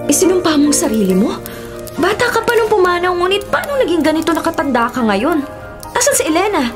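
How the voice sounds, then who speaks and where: A woman's voice: very high-pitched, very animated, fast talker. A woman playing a defiant, shaken young vlogger in a radio drama, earnest and dramatic. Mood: alarmed, defiant. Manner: earnest, dramatic, mixing English with Tagalog. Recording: loud music behind. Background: dramatic music.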